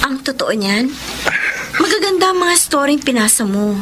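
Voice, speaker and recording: A high, lively young office confidante. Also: female voice, normal speed. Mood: confiding, sympathetic. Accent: Filipino. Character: confiding, kindly younger female office colleague, boss's niece. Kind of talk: Philippine radio drama acting. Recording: clearly noisy background.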